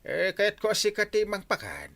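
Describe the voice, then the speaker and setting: A man's voice: high-pitched, huge pitch swings, moderate pace, gravelly, a bit bright, heavily acted. A man playing a proud, playful village captain who dotes on his buffalo, in an audio drama with an Ilocano accent. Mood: playful, boastful.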